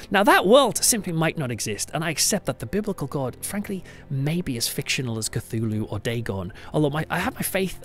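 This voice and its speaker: A man's voice: medium pitch, fairly bright tone. A British man hosting a podcast, giving a reflective monologue in a conversational, thoughtful and emphatic way. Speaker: podcast host, church pastor. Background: music under the voice.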